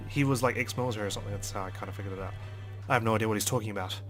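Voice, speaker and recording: Low-voiced man reading a game dramatically. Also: very fast, music in the background. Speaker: let's player. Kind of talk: dramatic read-aloud of a visual novel with asides.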